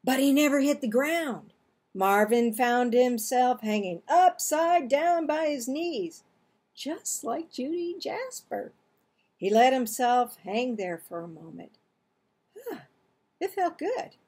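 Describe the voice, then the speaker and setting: A woman's voice: high-pitched, sing-song, takes it slowly, a little mellow, slightly rough. A woman in her sixties reading a children's book aloud, expressively acting out the dialogue and action. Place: small home room, indoors.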